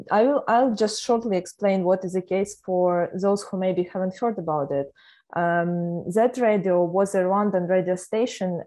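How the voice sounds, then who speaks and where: A woman hosts a panel discussion in a low, mellow voice. She talks hesitantly, pausing often on fillers between her points. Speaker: host.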